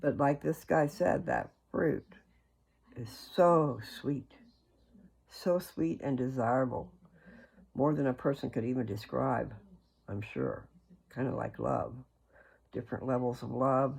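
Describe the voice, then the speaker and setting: Gravelly, husky voice in folksy devotional talk. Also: mid-range voice, unhurried, long pauses, darkish tone, low-quality recording. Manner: folksy, earnest, digressive. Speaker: religious video host. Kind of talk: rambling informal devotional talk.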